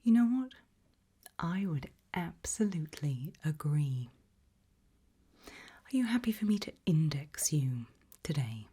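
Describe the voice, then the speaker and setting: A woman speaks in a very breathy whisper, pitched low. She takes her time with each phrase in an unhurried ASMR role-play. Her delivery is measured and quietly ominous, addressed directly to the listener. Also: big pitch swings. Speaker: ASMR host playing a fear-test examiner.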